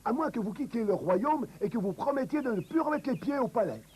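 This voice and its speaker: A man's voice: very high-pitched, animated, fairly quick, a little mellow, slightly rough, heavily acted. A man voicing a servile, anxious castle guard in a cartoon, hesitant and stammering.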